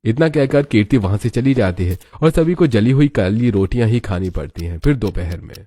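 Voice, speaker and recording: A man's voice: lowish voice, lively intonation, brisk, dark tone, no room sound, clean studio sound. A man reading scripted narration for a cartoon story.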